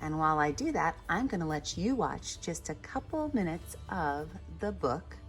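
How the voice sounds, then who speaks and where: Low-voiced woman teaching painting. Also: very animated, moderate pace. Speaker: art teacher, host of painting tutorial. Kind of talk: instructional step-by-step painting tutorial. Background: background music.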